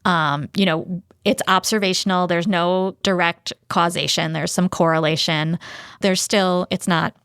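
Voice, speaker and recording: A woman with a slightly low voice and a dry, close sound. She speaks as a podcast co-host, breaking things down informally and checking that her points land. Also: fairly even intonation, a little slow, fairly bright tone, clear, resonant tone, no room sound. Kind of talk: casual explanatory podcast discussion.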